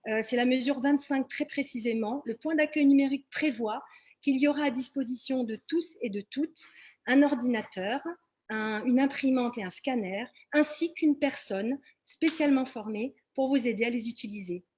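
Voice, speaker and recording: A woman's voice: sits high, moderate pace, darkish tone, breathy edge. A woman candidate giving a partly improvised presentation at a public meeting, addressing listeners directly and stressing her key points. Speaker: municipal election candidate.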